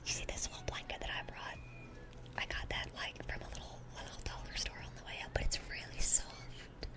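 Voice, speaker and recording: A woman's voice: fairly quick, close to an everyday voice. A woman playing a dorky, eager young demon in a whispered ASMR roleplay, rambling sweetly and often repeating her words. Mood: excited, gentle.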